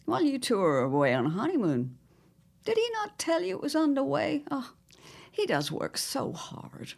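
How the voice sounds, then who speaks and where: A woman playing a scheming, condescending older mother-in-law in an audio drama, with a smug, theatrical, drawn-out delivery. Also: high voice, huge pitch swings, vocal fry, a little mellow, full character voice. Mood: smugly sweet.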